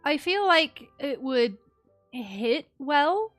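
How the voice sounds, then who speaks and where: A woman's voice: sits high, sing-song, extremely slow, a bit bright, dry recording, faint music behind. A woman giving casual, chatty live commentary as she streams a game, reacting to the story.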